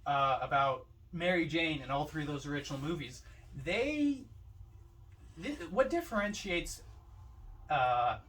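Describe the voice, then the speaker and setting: Higher-voiced man podcasting, distant from the mic. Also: animated, measured pace, pauses often, a bit bright. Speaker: podcast co-host. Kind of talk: casual film discussion.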